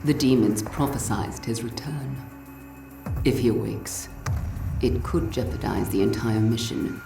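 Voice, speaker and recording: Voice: medium pitch, measured pace, vocal fry, over music. A performer playing an intense, zealous conspirator scientist in a video game, with a dramatic, earnest delivery. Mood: ominous. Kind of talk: video game cutscene acting.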